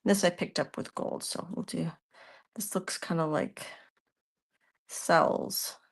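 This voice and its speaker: A woman's voice: lowish voice, fairly even intonation, slow, vocal fry. A woman with a Canadian accent hosting a live art demonstration. She talks through her work as she goes, answers viewers' questions, and often trails off mid-sentence. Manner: chatty, thinks aloud while working, trails off mid-sentence. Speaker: art tutorial host.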